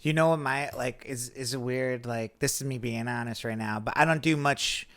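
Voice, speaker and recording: A man's voice: mid-range voice, a bit bright. A man with an American accent and a mid-range voice, hosting a podcast. He chats casually and wanders as he goes, stopping and restarting his thoughts. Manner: rambling, halting delivery. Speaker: podcast host. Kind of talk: casual chat. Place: studio apartment.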